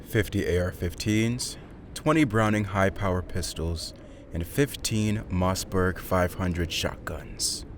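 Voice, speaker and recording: Low, controlled villainous dealer. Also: male voice, slow, a little hiss or hum. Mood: cool, businesslike. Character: businesslike male villain trafficker, cool and controlled. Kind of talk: audio drama acting.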